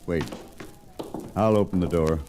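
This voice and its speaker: A man's voice: deep voice, a little slow. An actor playing a grave, laconic western lawman in an old-time radio drama, drawling and terse. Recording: noisy old radio recording.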